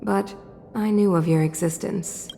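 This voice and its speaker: An actress playing a regal, composed supreme ruler in a video game, stately, deliberate and condescending. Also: female voice, medium pitch, fairly even intonation, vocal fry, warm tone, slight background noise. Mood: knowing, stately. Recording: long hall reverb.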